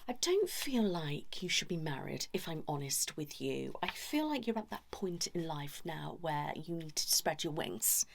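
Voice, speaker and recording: Hushed, low-voiced woman doing ASMR therapy role-play. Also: big pitch swings, unhurried, hardly any pauses. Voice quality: soft, breathy, often whispered. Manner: chatty, mock-concerned, gently scolding, hushed. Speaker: ASMR host role-playing a couples therapist. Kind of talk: ASMR role-play, one-sided improvised conversation.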